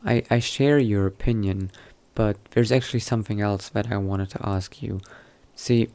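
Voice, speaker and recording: A man playing a newly turned vampire mage in a game roleplay, musing to himself in a halting, politely understated way. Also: fairly low pitch, fairly even intonation, warm tone, clear, resonant tone, natural voice. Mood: polite, hesitant. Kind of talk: in-character video game roleplay narration.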